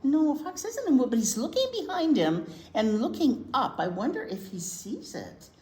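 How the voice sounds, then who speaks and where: A woman reading a picture book to young children in an expressive, chatty way, often asking them questions. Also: high voice, normal speed. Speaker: children's library teacher reading aloud. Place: indoor room at a library. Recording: roomy echo, noticeable background noise.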